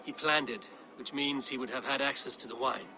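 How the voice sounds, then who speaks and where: A man's voice: medium pitch, fairly even intonation, a little fast, close to an everyday voice, low-quality recording. Stern Viking warrior played by a man. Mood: deliberate. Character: stern, commanding Viking warrior investigating a murder plot. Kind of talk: cinematic video game acting.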